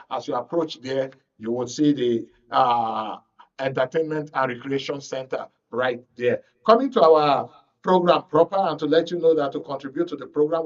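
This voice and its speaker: A man's voice: on the high side, recorded from a distance, muffled recording. A man with a Nigerian accent hosting a live current affairs programme on radio.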